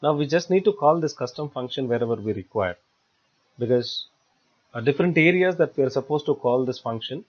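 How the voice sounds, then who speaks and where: Dull-sounding man teaching software. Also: mid-range voice, expressive, a little fast. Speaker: software tutorial instructor. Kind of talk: step-by-step screencast tutorial narration. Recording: dull lo-fi voice-over recording.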